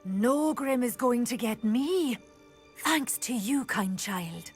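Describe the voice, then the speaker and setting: A woman's voice: fairly high pitch, expressive, slow, soft background music. A woman playing a nervous, superstitious, eccentric village witch in a video game, theatrical and wavering. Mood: grateful, relieved. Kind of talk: video game cutscene acting.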